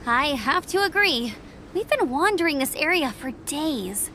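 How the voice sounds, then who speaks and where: A woman's voice: sits high, very animated, unhurried, bright, forceful tone, a little hiss or hum, exaggerated voice. A woman voicing a bubbly, cheerful young adventurer in a video game. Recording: game audio with music.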